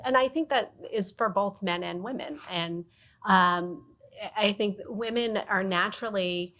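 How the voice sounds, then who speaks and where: A woman speaking on a business panel as a leader who talks about mentoring, thoughtful and measured with frequent restarts. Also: mid-range voice, fairly bright tone. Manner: thoughtful, restarts often, coaching tone. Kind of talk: panel discussion.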